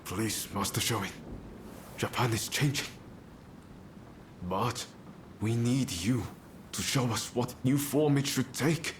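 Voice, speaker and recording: A man's voice: medium pitch, takes it slowly, pauses often, background noise, close to an everyday voice. A man voicing an upbeat, roguish young samurai rebel in a video game cutscene, theatrical and playful. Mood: earnest pleading. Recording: music behind the voice.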